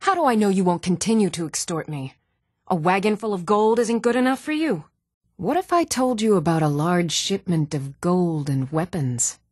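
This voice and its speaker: An actress voicing an even-toned young Nordic townswoman and warrior in a fantasy video game, measured and clearly spoken. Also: on the low side, no room sound, studio quality. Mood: cool, measured. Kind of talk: scripted video game dialogue.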